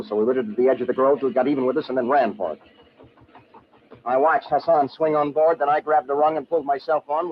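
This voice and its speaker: An actor playing a brash, wisecracking American adventurer, slangy and clipped, in an old-time radio drama. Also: male voice, medium pitch, lightly acted. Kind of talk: old-time radio adventure acting with first-person narration. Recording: dull, hissy old radio recording.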